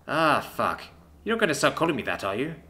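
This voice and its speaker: Man doing a tired young male recruit, sheepish, embarrassed, lively rise and fall. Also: medium pitch, fairly quick.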